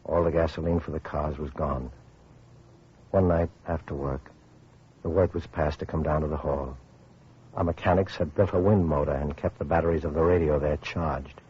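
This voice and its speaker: Low-voiced, grave survivor narrator. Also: male voice, fairly even intonation, moderate pace, husky. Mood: sombre, reflective. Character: Stanchion, weary male survivor and grave narrator after atomic war. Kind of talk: 1950s radio drama narration. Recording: muffled old radio recording, music behind.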